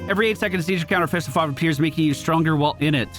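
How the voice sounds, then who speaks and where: A man giving casual live gameplay commentary as a streamer, slangy and excitable, with some swearing. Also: a little high, rapid-fire. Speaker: gaming streamer. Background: faint game music.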